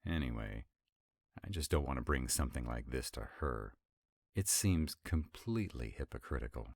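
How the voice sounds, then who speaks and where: An extremely low, closely dry-recorded male vampire, acted out by a man for a roleplay audio. He is sarcastic, wry and easily irritated, with a rebellious streak. His delivery is conversational and intimate, swinging animatedly as he makes his drawling asides. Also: expressive, moderate pace, darkish tone, no room sound, full character voice. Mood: reluctant, wry. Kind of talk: intimate one-sided roleplay audio acting.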